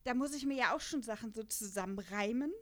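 A woman from the Lower Rhine in Germany talks in a fairly high voice. She is a podcast co-host in casual conversation, chatty and rambling, with a self-deprecating way of putting things. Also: brisk, a bit bright. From Lower Rhine region, Germany. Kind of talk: casual chat about an audio drama.

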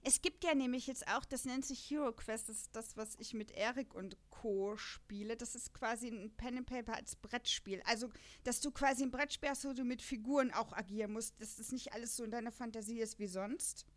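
A German woman hosts a casual podcast in a fairly high voice. She talks in a chatty, unscripted way, with a joking, self-deprecating streak. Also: fairly quick, hardly any pauses. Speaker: podcast host. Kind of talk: casual podcast chat about an audio drama series.

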